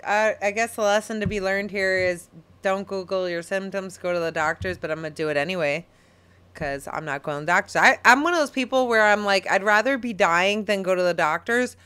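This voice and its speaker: A woman in her thirties co-hosting a casual podcast, chatty, teasing and animated. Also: medium pitch, a little fast, clean tone. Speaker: podcast co-host. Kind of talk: casual chat.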